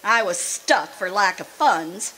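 A woman acting in a radio drama as a kindly, excitable former gold-rush saloon girl, with a folksy, gushing manner. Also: medium pitch, slow pace, exaggerated voice. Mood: confiding.

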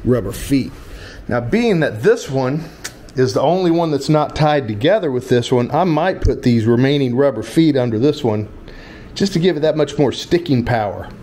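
A man's voice: medium pitch, expressive, moderate pace, warm tone. A man in his fifties with an American accent, chatting casually as a vlog host in a joking, rambling, informal way. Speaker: vlogger and host. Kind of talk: casual handheld vlog chat. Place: tiled room and open-fronted home shop. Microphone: handheld action camera at arm's length. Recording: noisy handheld camera audio.

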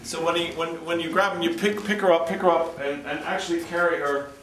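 A man's voice: on the high side, fairly even intonation, brisk, a bit bright. A middle-aged man directing actors in rehearsal, giving emphatic instructions. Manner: emphatic, acts out lines while instructing. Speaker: radio drama director. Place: room in a large house. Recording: echoey room, distant mic, background noise.

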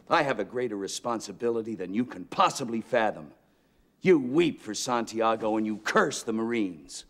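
A man's voice: mid-range voice, lightly acted. A man playing a defiant, commanding military officer. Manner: forceful, lecturing, shouting at peaks.

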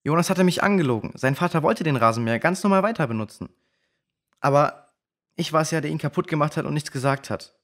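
A man's voice: fairly high pitch, animated, fast talker, fairly bright tone. A man playing an honest, contrite boy in a children's audio drama.

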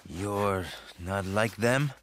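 A man's voice: lowish voice, drawn-out words, fairly bright tone, a little hiss or hum. A man with a Spanish accent playing a cocky, roguish, teasing captive in a video game. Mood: wry, pained. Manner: wry, teasing. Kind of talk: video game cutscene acting.

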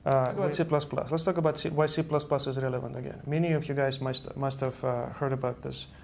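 A man with a gravelly edge to his voice explains things earnestly, often doubling back on his words. He speaks in a studio interview over a lapel mic, with faint hiss underneath. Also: mid-range voice, steady tone, quick pace, darkish tone. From Estonia. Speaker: guest, software engineer. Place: virtual news-style studio set.

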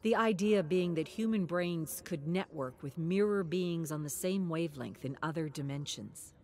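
A woman narrator reading a scripted dramatic story in a measured, suspenseful way, with pauses. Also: fairly low pitch, a little mellow, slight background noise.

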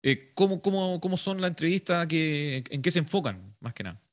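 A man, calmly reading audience questions as moderator on a video call. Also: fairly high pitch, a little fast. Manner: calm, brief, hesitant restarts. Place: small room indoors. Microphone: video call, headset.

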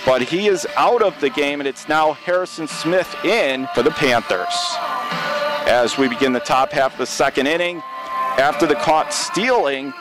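An American man calling baseball play-by-play for radio from a stadium press box, in a measured, descriptive way with plenty of detail. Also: a little high, music in the background. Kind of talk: live sports radio play-by-play commentary.

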